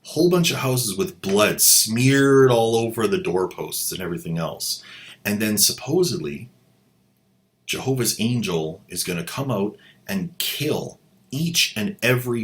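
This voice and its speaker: A man's voice: low-pitched, fairly bright tone. A man hosting a commentary video who mocks the drama clips he plays with a sarcastic, incredulous delivery. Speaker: host, commentator.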